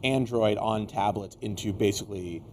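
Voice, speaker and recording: Tired man rambling over clear background noise. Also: fairly low pitch, moderate pace. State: tired, getting sick, losing his voice. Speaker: tech show host. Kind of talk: casual unscripted tech discussion.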